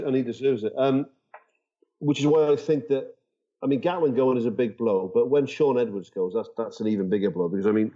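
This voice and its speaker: A man with a soft, mellow tone talks like an opinionated bloke among mates. He is a guest on a casual sports podcast, and the audio is a little dull and muffled. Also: medium pitch. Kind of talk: casual rugby chat.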